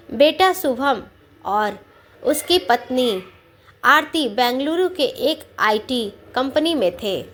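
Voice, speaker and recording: A woman with a high voice narrates a sentimental family story. She reads at a slow pace, with measured, deliberate phrasing, as a storyteller working from a script. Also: a bit bright.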